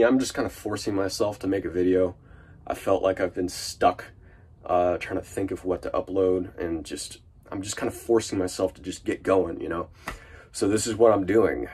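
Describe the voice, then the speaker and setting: A man's voice: on the low side, darkish tone, breathy edge. A man with a nasal voice from a cold, rambling casually through a vlog update from inside an unfinished van. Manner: rambling and chatty, lots of fillers and restarts. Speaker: vlogger.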